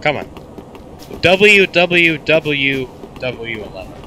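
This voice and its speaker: A man's voice: on the high side, very animated, slow pace, noisy background. A teenage boy with a congested voice, giving sarcastic, casual commentary while playing a video game and coughing now and then. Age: teens. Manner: sarcastic, mock-grumpy banter. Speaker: gaming YouTuber. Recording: game music playing loudly behind.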